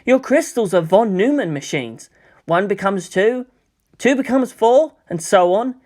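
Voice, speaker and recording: A man's voice: high-pitched, expressive, a little slow, a little mellow, voice pushed up. A man voicing an earnest teenage boy, a psychic student who speaks politely and precisely in an audiobook. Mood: earnest, explaining.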